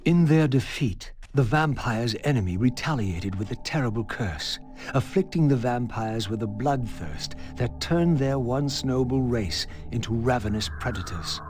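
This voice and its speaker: A man's voice: mid-range voice, lively intonation, unhurried, lightly acted. An actor playing a brooding undead wraith, once a noble vampire, narrating his quest in grave, formal language for a game. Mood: solemn. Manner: formal, grave, literary phrasing. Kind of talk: scripted dramatic narration. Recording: music behind.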